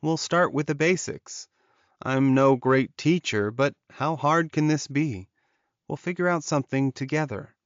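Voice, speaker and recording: A man's voice: a little high, a little slow, dry recording, dull audio, close to an everyday voice. A man voicing a lovelorn male werewolf in an audiobook, earnest and urging as he encourages his beloved. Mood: reassuring. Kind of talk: audiobook character dialogue.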